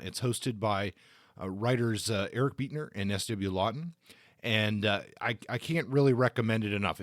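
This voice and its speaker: A man hosting a podcast in a casual, conversational way, feeling out his phrases haltingly. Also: fairly low pitch, no echo, studio quality. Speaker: podcast host. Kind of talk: casual podcast talk and book review.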